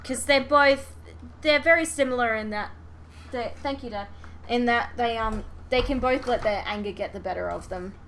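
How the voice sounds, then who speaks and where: Woman podcaster chatting fandom stuff, bright forceful voice, bit of noise behind her. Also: fairly high pitch, moderate pace. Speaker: podcast host. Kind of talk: casual fan discussion.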